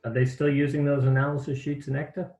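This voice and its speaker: A man's voice: medium pitch, little pitch movement, fast talker, darkish tone. A man hosting an online training session, explaining things in a rambling, self-deprecating way over a video call. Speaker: host of a caller training session. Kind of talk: casual online meeting chat. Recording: echoey room, dull sound.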